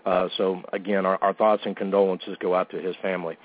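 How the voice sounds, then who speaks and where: A man hosts a casual talk show, cracking jokes at his own expense between plugs and news. His voice sits on the low side. The sound is thin and boxy, like a phone line. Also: level tone, quick pace. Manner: goofy, joking, self-deprecating. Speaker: podcast host. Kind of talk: casual radio-show banter and announcements.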